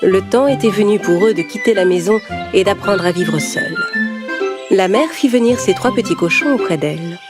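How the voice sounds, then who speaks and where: A woman's voice: mid-range voice, normal speed. A woman storyteller reading a scripted fairy tale for young children. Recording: music behind the voice.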